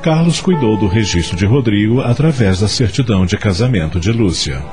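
A man narrates a radio soap opera with highly expressive intonation. His voice is fairly low, with clear music playing underneath as he tells the story. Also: a little fast. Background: background music.

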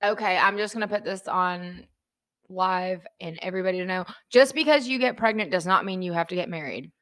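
A woman's voice: on the low side, brisk, fairly bright tone. A woman co-hosting a podcast in casual chat, blunt and opinionated, swearing freely. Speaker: podcast co-host. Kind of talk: casual true-crime chat.

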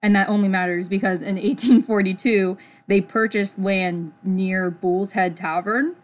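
A woman's voice: mid-range voice, steady tone, unhurried. A young woman co-hosting a casual podcast, chatty and giggly, reading history from her notes with lots of "like". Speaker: podcast co-host. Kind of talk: casual chat.

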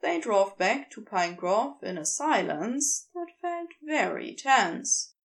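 Woman audiobook narrator, slow delivery, lively swooping intonation, scripted storytelling. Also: medium pitch, vocal fry. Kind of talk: expressive scripted fiction narration.

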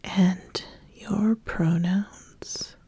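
A woman playing an ambitious, appraising witch in a soft, breathy, deliberate ASMR roleplay whisper. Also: mid-range voice, steady tone, no echo, heavily acted. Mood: probing, reserved. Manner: slow, deliberate, measured, with long pauses. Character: ambitious, appraising witch interviewing a would-be apprentice.

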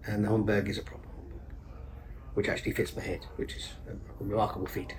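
A man's voice: lowish voice, fairly even intonation, fast talker, gravelly, slight background noise, low-quality recording. A man, a stage actor and director, talking in a casual, enthusiastic, rambling way as a podcast guest. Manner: enthusiastic, rambling, self-interrupting with fillers. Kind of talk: casual conversational interview.

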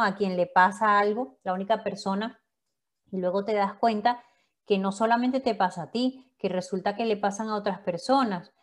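A woman's voice: mid-range voice, a little mellow. Reflective woman podcast co-host. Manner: reflective, explains slang. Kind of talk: casual podcast conversation.

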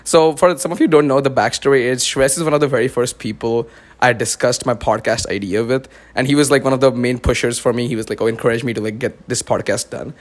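A man's voice: medium pitch, steady tone. A young man hosting a podcast in casual chat, raised in India after a US birth, talking with lots of "like" fillers. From born in the US, raised in India. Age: young adult. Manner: fast, filler-heavy talk with many "like"s. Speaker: podcast host.

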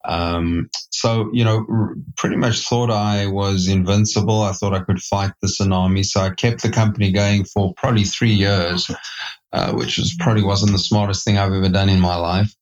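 A middle-aged South African man speaks in a low, level voice, circling back over his words with fillers and restarts as he reflects on his career. The recording sounds muffled and lo-fi. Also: fairly even intonation, normal speed. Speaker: guest, company CEO. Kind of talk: podcast interview.

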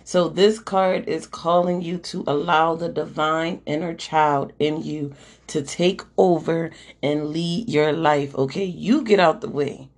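A hoarse woman who is losing her voice hosts a podcast, reading oracle cards aloud in a chatty, slangy way and checking in with "okay?". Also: low-pitched, fairly even intonation, unhurried. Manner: chatty and slangy, swears, keeps asking "okay?" while reading card texts aloud. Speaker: podcast host and oracle card reader. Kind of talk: casual oracle card reading, live-streamed podcast.

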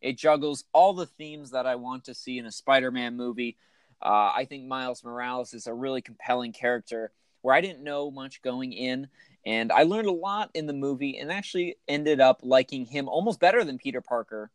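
A man with a raspy voice, talking loosely and enthusiastically as a podcast co-host. His pitch sits in an ordinary middle range. The recording is dry and close, with no room sound. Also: a little fast, no room sound. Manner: enthusiastic, joking, rambling. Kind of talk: casual chat.

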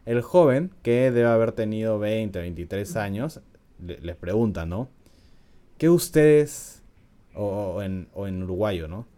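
Low, mellow-voiced man telling a story. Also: lively intonation, measured pace. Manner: chatty, laughs often, frequent "¿no?" tags. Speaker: podcast host. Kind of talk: casual storytelling podcast.

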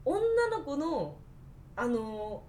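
A woman co-hosting a casual podcast, telling personal stories in an animated way and acting out bits of dialogue. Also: high voice, drawn-out words, slight background noise. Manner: animated, acts out quoted dialogue. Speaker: podcast co-host.

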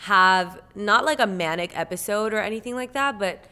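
A woman's voice: medium pitch, moderate pace, sharp tone, smooth. A woman in her twenties from Cuba, a podcast guest talking candidly and earnestly in casual chat, with many filler words. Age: 20s.

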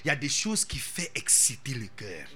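A man preaching a sermon as pastor, animated and playful, dropping English interjections into his talk. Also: medium pitch, normal speed.

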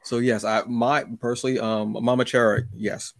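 A man's voice: medium pitch, little pitch movement, normal speed. A man hosting a casual, conversational interview, often restarting his phrases and acting out little asides. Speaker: podcast host.

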